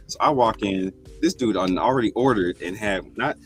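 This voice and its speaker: A man's voice: lowish voice, moderate pace, soft background music. A man hosting a casual group chat, telling loose stories and welcoming each guest by name. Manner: loose, storytelling, acts out quotes with raised voice. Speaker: podcast host.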